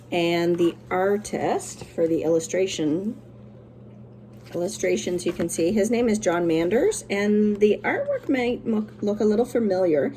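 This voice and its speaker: A woman's voice: lowish voice, dark tone, a little hiss or hum. A woman teacher doing a children's read-aloud, with simple, encouraging explanations for young listeners.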